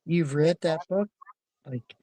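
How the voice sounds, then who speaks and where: A man argues in a casual livestream debate with a slow delivery and a somewhat high voice. He cuts in dismissively and repeats his words. The sound is dry, with little room around it. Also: mellow, no echo. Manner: repetitive, interjecting, dismissive.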